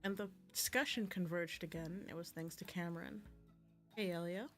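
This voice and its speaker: Female game streamer, slightly low and bright, casual read-aloud of story text, music underneath. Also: fairly even intonation, a little fast. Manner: slangy, casual, unpolished. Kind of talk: reading a visual novel aloud with casual reactions. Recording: music playing behind the voice.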